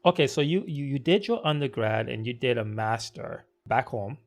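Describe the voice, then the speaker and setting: A man's voice: medium pitch, expressive, moderate pace. Man hosting a friendly interview. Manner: friendly, encouraging, asks long questions. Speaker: podcast host. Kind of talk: casual interview conversation.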